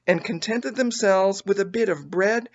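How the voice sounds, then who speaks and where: A woman reading a children's story aloud as an expressive audiobook narrator. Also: medium pitch, unhurried, muffled recording. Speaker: audiobook reader.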